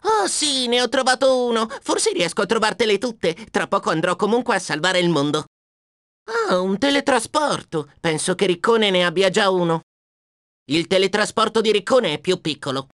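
A woman's voice: mid-range voice, lively intonation, moderate pace, fairly bright tone, no echo, lightly acted. A woman voicing a plucky, cheeky young male dragon hero in a video game, self-assured and wisecracking, close on a dry studio mic. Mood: excited, then casual, cheeky. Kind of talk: video game character acting. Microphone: close studio microphone, dry.

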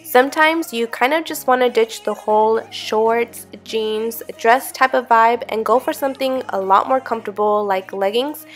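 A woman's voice: on the high side, moderate pace, few pauses, faint music behind. A young woman fashion vlogger talking casually to camera in a small bedroom, upbeat and chatty, her voice a little off from being sick. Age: young adult. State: sick, voice a bit off. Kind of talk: casual vlog talk to camera. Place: small bedroom with string lights, indoors.

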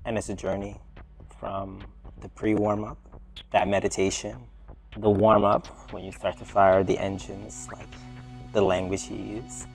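A young man from the Bronx, a dancer speaking in a measured, thoughtful way about his art. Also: on the low side, level tone, vocal fry, soft background music. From Bronx, New York. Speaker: dancer and performer. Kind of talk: reflective interview talk.

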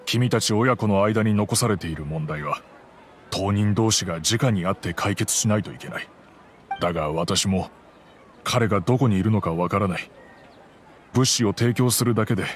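An actor playing a middle-aged, fatherly master of a wealthy house in an anime game, measured, gentle and remorseful. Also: low voice, a little hiss or hum, lightly acted. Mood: composed, helpful. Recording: soft music behind the voice.

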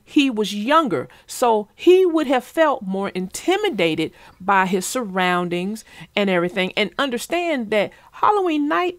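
Expressive, high-voiced woman storyteller. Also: no echo, studio quality. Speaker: children's book narrator and host. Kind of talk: expressive read-aloud and chatty commentary. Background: music.

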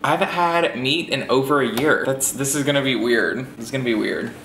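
A man's voice: mid-range voice, a little fast, noisy background. A man of twenty-one giving wry, self-mocking commentary on an old video of himself, filmed at a kitchen counter. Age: early 20s. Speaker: vlogger reacting to an old video. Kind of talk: casual reaction commentary. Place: kitchen in an apartment. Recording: roomy kitchen echo.

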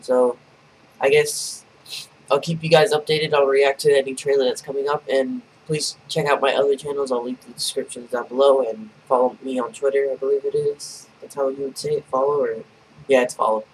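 A man's voice: mid-range voice, steady tone, a little fast, far from the mic, slight background noise, rough recording. A young adult man giving casual live reaction commentary as a video host. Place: small bedroom, indoors. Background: trailer music.

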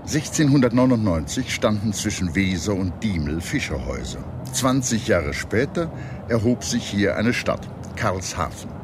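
A man reading scripted historical narration as a documentary narrator, in a measured, formal manner. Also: lowish voice, very animated, noisy background. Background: music behind the narration.

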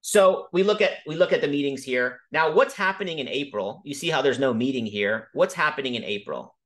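A man casually teaching about markets over a video call, talking quickly and emphatically and often checking in with "okay?". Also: mid-range voice, expressive, fairly bright tone. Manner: fast, emphatic, frequent "okay?" check-ins. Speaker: crypto educator hosting a Zoom call. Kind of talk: casual screen-share teaching.

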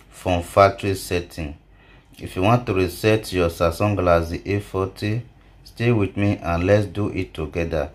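A man narrating a step-by-step phone tutorial as a video host, halting and repeating himself. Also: sits low. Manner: halting, repetitive delivery.

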